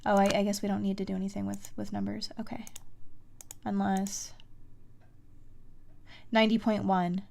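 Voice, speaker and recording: A woman's voice: mid-range voice, level tone, moderate pace, gravelly, pauses often, a little mellow. A woman doing casual live game commentary as a streamer, thinking aloud and talking to herself with mild exclamations. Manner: self-talking, with mild exclamations and sing-song filler syllables. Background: music.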